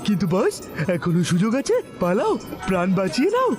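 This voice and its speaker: A cartoon crook's nervous sidekick in a high voice. He is cautious and wary, always warning his boss. Music plays behind him. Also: huge pitch swings, brisk. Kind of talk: cartoon dub acting.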